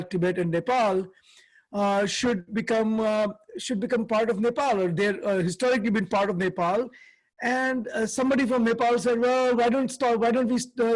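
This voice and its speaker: A man's voice: sits high, rough recording. A man co-hosting a talk show discussion, speaking earnestly with many fillers and restarts. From India. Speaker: co-host.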